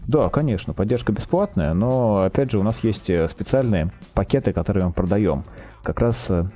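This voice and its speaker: A man explaining products as a guest in a podcast interview, speaking haltingly with drawn-out hesitations. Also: on the low side, animated, dark tone, faint music behind. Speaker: guest, telecom equipment maker's project manager.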